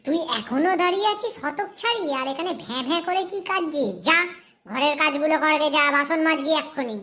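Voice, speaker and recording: A woman's voice: mid-range voice, steady tone, moderate pace, a bit bright, lightly acted. A woman voicing a harsh, bossy aunt in a cartoon story, with a scolding, domineering manner. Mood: angry, scolding. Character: harsh, scolding, bossy aunt who overworks her niece.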